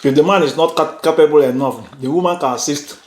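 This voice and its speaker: A man's voice: on the high side, fast talker. A man with a Nigerian accent co-hosting a mukbang vlog, talking casually and repeating phrases for emphasis. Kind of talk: casual chat while eating. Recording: roomy echo, faint noise, dish clinks. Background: clicks, cutlery and dishes.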